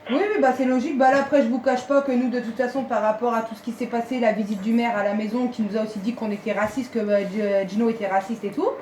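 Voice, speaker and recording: Fast-talking woman on an echoey call. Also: fairly high pitch, steady tone, a little mellow. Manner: insistent, detailed, aggrieved. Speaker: parent of a pupil. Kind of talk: phone conversation, recounting a complaint. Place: recorded telephone call. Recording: echoey phone call recording, faint noise.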